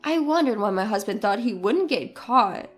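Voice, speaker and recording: A woman's voice: a little high, expressive, normal speed. A woman reading a scripted first-person story as its narrator. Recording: music behind the voice. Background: background music.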